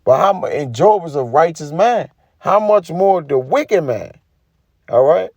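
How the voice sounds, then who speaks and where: Guy preaching off the cuff from scripture, mellow tone, really dry close sound, keeps checking you follow. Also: fairly high pitch, expressive, unhurried, no room sound, dull audio. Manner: emphatic, colloquial, punctuating points with tag questions. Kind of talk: informal sermon with scripture reading and commentary.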